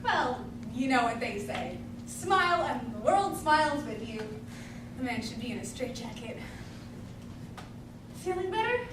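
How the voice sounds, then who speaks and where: A woman's voice: fairly high pitch, very animated, a little slow, pauses often. A woman playing a scheming, flamboyant male theatre producer in an amateur stage comedy, grandiose, bullying and cajoling. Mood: smooth, coaxing. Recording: distant echoey room recording with clear noise.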